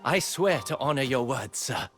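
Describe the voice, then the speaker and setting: A man's voice: mid-range voice, lively intonation, normal speed, fairly bright tone, faint music behind, lightly acted. A man playing an eager, earnest young villager training as a fighter in a video game cutscene. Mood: earnest, resolute.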